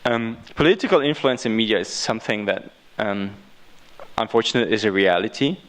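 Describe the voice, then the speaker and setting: Halting Austrian man giving a talk. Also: fairly low pitch, fairly bright tone. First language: German. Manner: halting, repeats and restarts phrases. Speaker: guest speaker. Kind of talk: unscripted talk answering audience questions.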